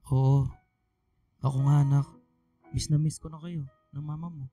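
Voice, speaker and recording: A man's voice: on the high side, fairly even intonation, moderate pace, warm tone, faint music behind, natural voice. An actor playing a remorseful older father in a radio drama, earnest and apologetic, with a Filipino accent. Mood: remorseful, pleading. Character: remorseful older father returning to abandoned family.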